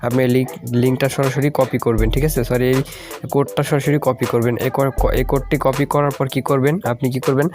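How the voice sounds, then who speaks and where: Fast-talking man over clear background music. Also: medium pitch, flat delivery. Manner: repeatedly checks in with the listener. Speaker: tech tutorial host. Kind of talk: informal step-by-step video tutorial narration.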